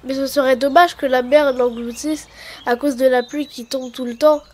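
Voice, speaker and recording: A woman's voice: high voice, steady tone, normal speed, a little mellow. A woman voicing a curious, easily worried little girl in a children's cartoon.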